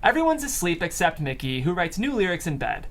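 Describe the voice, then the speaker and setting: A narrator reading stage directions aloud at a comedy table read in an amused, animated way. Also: medium pitch, a little fast.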